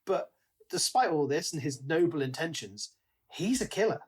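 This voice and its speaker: Higher-voiced man chatting casually. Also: lively intonation, moderate pace, breathy edge. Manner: conversational, acts out imagined quotes. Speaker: podcast co-host. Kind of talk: casual book discussion.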